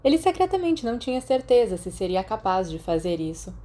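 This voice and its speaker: A woman with a Brazilian accent narrates fiction in audiobook style. Her tone is mellow and dark, at a medium pitch. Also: big pitch swings, brisk. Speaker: podfic narrator.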